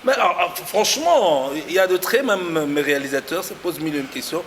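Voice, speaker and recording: A man's voice: on the high side, lively intonation, quick pace, a bit bright. Hesitant male TV host, echoey hall. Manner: hesitant, many fillers. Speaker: TV show host. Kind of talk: interview, casual talk show. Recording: noisy room with long reverb.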